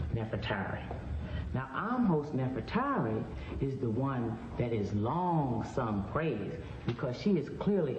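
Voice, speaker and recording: Male history lecturer, emphatic storyteller, dull lo-fi recording with room echo. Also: mid-range voice. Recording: echoey room, dull sound, background noise.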